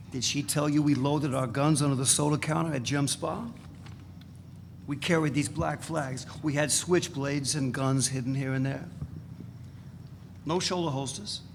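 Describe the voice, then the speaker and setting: A man's voice: a little high, natural voice. A man reading the part of a boastful, crude male ex-radical fugitive in a live dramatic reading, with a blunt, provocative manner. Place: event space. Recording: reverberant live room, faint noise.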